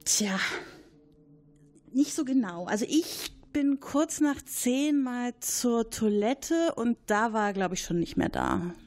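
A woman's voice: a little high, expressive, takes it slowly, a little mellow. A woman playing a chatty, gossipy male supervisor at a military base in an improvised audio drama, rambling and hesitant as he points at a colleague. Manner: rambling, hesitant, eager to accuse.

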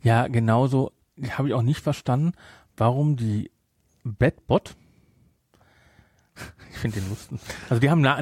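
Man, German accent, medium pitch, dry close recording, casual podcast chat, rambling explanations, halting self-corrections. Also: a little mellow, dry recording. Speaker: podcast co-host. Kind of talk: casual film discussion chat.

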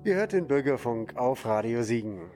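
Male radio host, mid-pitched, casual local-report interviewing. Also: big pitch swings, normal speed, over music.

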